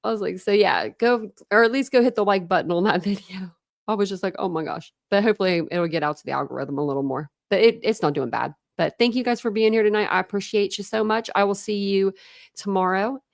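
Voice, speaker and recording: A young woman, chatting casually on a livestream and rambling with lots of fillers, her voice going hoarse. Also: medium pitch, fast talker, no room sound, studio quality. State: losing her voice, throat clearing. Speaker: true crime streamer.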